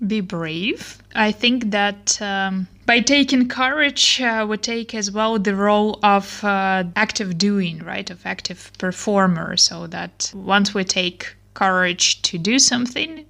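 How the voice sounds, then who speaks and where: A woman co-hosting a podcast offers steady, encouraging advice. Her voice sits at a middle pitch. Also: creaky. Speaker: podcast co-host. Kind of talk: podcast discussion.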